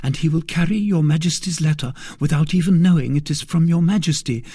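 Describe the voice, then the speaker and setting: A man's voice: fairly high pitch, fairly quick, warm tone, breathy edge, no room sound, clean studio sound, pitched above the performer's own voice. A man doing an earnest, devoted young maid. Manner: fervent, earnest, at times whispered. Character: devoted young lady-in-waiting, earnest and loyal.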